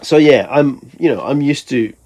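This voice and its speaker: A man's voice: medium pitch, lively intonation, moderate pace, darkish tone, low-quality recording. A man from Finland, a musician, talking casually in a rambling, think-aloud way for a vlog. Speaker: musician vlogger.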